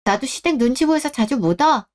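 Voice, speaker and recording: A woman's voice: sits high, lively intonation, fairly quick. A woman voicing a complaining, self-pitying grown daughter in a radio drama. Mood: petulant. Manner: complaining, petulant.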